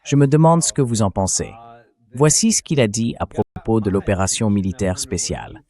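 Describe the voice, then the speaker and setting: Male AI dub voice, fairly low, for a political talk show host putting points and questions to a guest. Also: lively intonation, moderate pace, dark tone. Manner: synthetic AI voice-over reading. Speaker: interview host. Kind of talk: political talk show commentary, dubbed translation.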